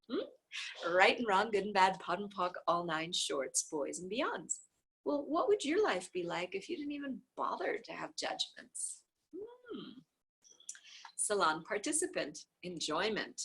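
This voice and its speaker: Woman reader, husky tone, mid-pitched, reads a book of conversations aloud plainly. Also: rough recording. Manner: reads speaker labels and repeated clearing phrases in an even cadence; occasional soft offhand asides. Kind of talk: reading a dialogue book aloud.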